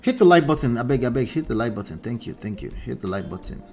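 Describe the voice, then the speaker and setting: A man with a Nigerian accent whose first language is the Akure dialect, hosting a relationship livestream, reading out viewer comments and repeating points with animated emphasis. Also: medium pitch, fast, soft background music. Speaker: relationship coach host.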